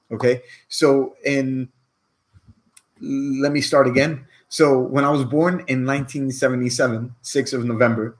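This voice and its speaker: Guy in his 40s, rambling earnest coach talk to camera, keeps saying okay. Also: mid-range voice. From Cyprus. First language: Greek. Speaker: online business coach.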